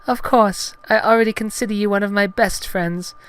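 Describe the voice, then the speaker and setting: A narrator voicing a kind, flustered, shy young woman in a gentle, earnest manner for a parody story. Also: medium pitch, fairly even intonation, normal speed, clear, resonant tone, voice pushed up, natural voice. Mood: affectionate.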